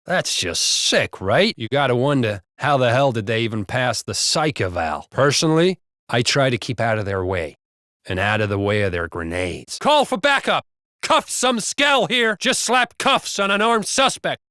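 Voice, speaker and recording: Blunt American cop, dry close recording. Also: male voice, mid-range voice, big pitch swings, moderate pace, pushed and bright, no echo. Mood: wry, uneasy. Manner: blunt, profane, colloquial. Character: gruff, profane American male police officer on patrol. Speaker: police officer character. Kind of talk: video game voice lines.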